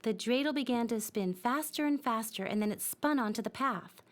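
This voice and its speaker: A woman narrating a scripted children's story in a simple, expressive storytelling manner. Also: fairly high pitch, normal speed.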